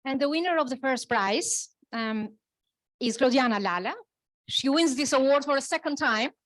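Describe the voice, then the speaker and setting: A woman's voice: high voice, normal speed, a bit bright, no room sound, poor audio. A woman presenting journalism awards, speaking earnestly with frequent hesitations. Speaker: jury member presenting awards. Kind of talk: awards announcement.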